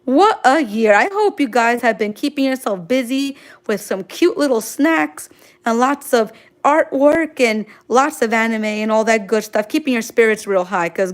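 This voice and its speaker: A woman from Queens, chatting casually and effusively on a livestream as she answers viewer comments, full of exclamations. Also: fairly high pitch. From Queens, New York City, United States. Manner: gushing, effusive, sprinkled with exclamations. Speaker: manga artist hosting a drawing livestream.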